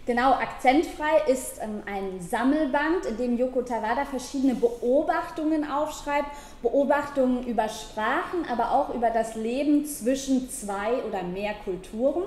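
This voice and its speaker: A young woman, a university lecturer, presenting and then reading an essay aloud in a measured, careful way, seated at a table in an ornate room. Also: high-pitched, darkish tone, a little hiss or hum. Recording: echoey room.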